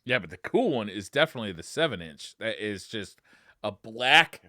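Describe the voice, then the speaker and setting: A man with a bright, forceful voice talks as a podcast co-host. His pitch moves in a lively way through casual chat, and the sound is dry and close. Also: moderate pace, dry recording. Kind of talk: casual chat about games and movies.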